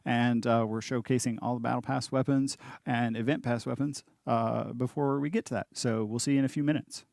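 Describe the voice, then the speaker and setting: A man's voice: medium pitch, fairly even intonation, fairly quick, creaky, darkish tone. A man hosting a livestream, chatting in an easygoing, joking way. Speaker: livestream host. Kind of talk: casual chat on an official livestream.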